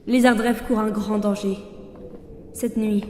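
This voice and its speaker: A woman's voice: mid-range voice, fairly even intonation, unhurried, a little mellow, echoey room, big-room sound, slight background noise, lightly acted. A woman playing the Ankou, death's supernatural servant, with composed, dry and sardonic delivery in a French audio fiction. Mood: stern, sardonic. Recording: music under the voice.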